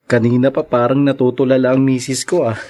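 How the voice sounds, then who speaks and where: An actor playing a gentle, devoted young Filipino man courting his old love in a radio drama, courteous and a little hesitant. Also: medium pitch, level tone, brisk, lightly acted. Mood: loving.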